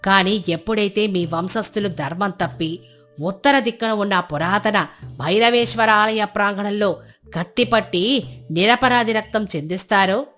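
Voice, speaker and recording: A woman acting a serene, wise sage in a folk tale, giving counsel in a measured, authoritative way. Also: medium pitch, fairly bright tone, close to an everyday voice.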